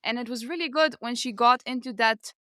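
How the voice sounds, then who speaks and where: A woman's voice: fairly high pitch, fairly quick, bright, forceful tone, no echo, clean studio sound. A woman co-hosting a podcast, chatting casually about books in a gushing, emphatic, informal way. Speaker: podcast co-host. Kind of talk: casual book discussion chat.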